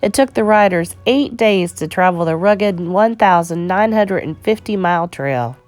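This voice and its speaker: Woman reading aloud with lively intonation. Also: fairly low pitch, moderate pace, no room sound, soft background music. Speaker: children's book reader. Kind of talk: read-aloud narration of an educational picture book.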